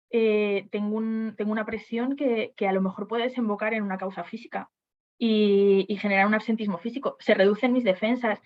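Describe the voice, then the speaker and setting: A woman's voice: medium pitch, muffled recording. A woman on a webinar panel discussing HR topics, speaking hesitantly with frequent restarts and fillers.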